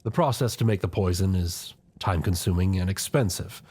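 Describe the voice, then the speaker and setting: A man acts out an older chancellor of a fantasy royal court in an audio roleplay. His voice is low and somewhat rough-edged. He speaks with formal, deliberate courtesy, grave and loyal to the crown, with a scheming streak. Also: darkish tone. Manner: formal, deferential, measured courtly speech.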